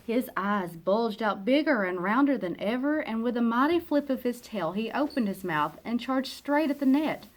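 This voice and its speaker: A woman's voice: on the high side, expressive, poor audio. Woman reading a children's storybook expressively. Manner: dramatic, with emphatic pauses and hushed phrase endings. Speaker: children's read-aloud narrator. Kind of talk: expressive storybook read-aloud.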